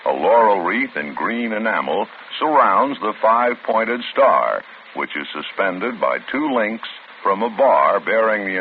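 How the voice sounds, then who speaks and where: A male announcer giving a formal, measured public service message. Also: low voice. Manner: measured, dignified reading. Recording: tinny old radio broadcast with faint hiss.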